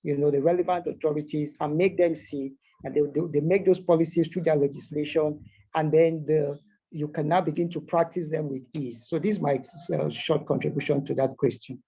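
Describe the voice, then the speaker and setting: A man, an NGO leader from Nigeria, answering a question in an online meeting in a measured way, often saying "you know". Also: fairly high pitch, fairly even intonation, dark tone, breathy edge. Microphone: video call. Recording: dull lo-fi call audio.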